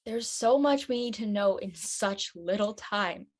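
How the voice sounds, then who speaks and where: A woman acting a friendly, anxious and studious high school girl in a casual dramatic read. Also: expressive, unhurried. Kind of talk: online dramatic table read.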